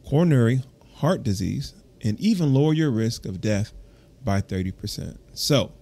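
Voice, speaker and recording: Guy with a lowish voice hosting a gym podcast, rambles, restarts sentences, kinda encouraging. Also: vocal fry, darkish tone. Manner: rambling, self-correcting, encouraging. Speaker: fitness podcast host and personal trainer. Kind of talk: casual solo podcast reading an article with commentary.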